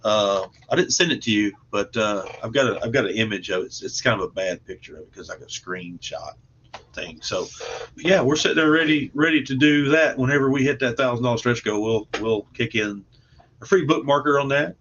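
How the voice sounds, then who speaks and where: Male guest, bright forward voice, easygoing rambling chat, dull lo-fi audio with some background noise. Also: mid-range voice, fairly quick. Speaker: podcast guest, comics creator. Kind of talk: casual chat.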